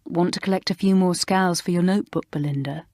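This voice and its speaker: A calm, soft-spoken schoolgirl with a lowish, quiet, unhurried voice, voiced by a woman reading a storybook. She sounds level and thoughtful. Dry, close recording. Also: dry recording, natural voice. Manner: soft, low-key. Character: cheerful head girl type, calm schoolgirl.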